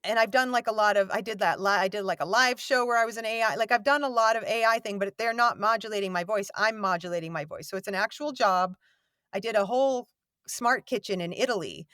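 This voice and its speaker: A woman voice actor chatting in an interview, rambling and self-deprecating, with a warm voice that has a slight gravel to it. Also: medium pitch, fast, no echo, studio quality. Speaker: voice actor guest. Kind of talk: casual interview chat. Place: home recording booth.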